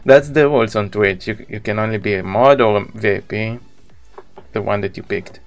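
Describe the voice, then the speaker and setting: A man's voice: on the low side, normal speed, soft background music. A man in his thirties with an Israeli accent, a native Hebrew speaker, chatting casually with viewers as he live-streams a video game.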